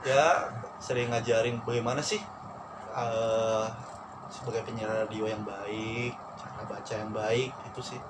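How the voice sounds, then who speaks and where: A young man from Probolinggo with a Madurese-tinged Indonesian accent, telling stories candidly and in a relaxed way in a casual chat. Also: on the low side, measured pace. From Probolinggo, East Java. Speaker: radio announcer and aspiring actor. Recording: noisy background.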